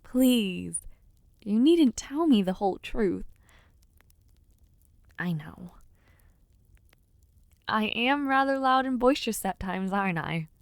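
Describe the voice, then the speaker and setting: A woman acts out a young noblewoman who hopes to become a knight, in an intimate fantasy audio roleplay. She takes her time, choosing refined, slightly formal words with sincere affection and a self-deprecating streak. The sound is close and dry, with a mid-range pitch. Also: animated, no echo. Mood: playful, self-deprecating. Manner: gently formal, refined phrasing, unhurried and sincere. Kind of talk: audio roleplay, intimate one-sided dialogue to listener.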